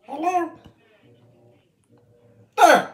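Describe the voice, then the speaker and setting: A young man putting on a loud, indignant caller for a prank phone call, shouty, profane and exasperated. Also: very high voice, drawn-out words, pitched above the performer's own voice, full character voice. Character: loud, indignant, high-voiced prank caller named Earl.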